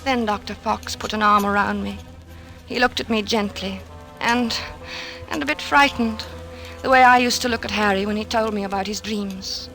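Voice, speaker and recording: An actress playing a haunted, distraught widow on the edge of hysteria, in intense 1940s radio drama style. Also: female voice, mid-range voice, steady tone, moderate pace, pushed and bright. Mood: haunted, brooding. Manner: intense, dramatic, brooding narration. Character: distraught widow haunted by her husband's death, growing hysterical. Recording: 1940s radio recording with music.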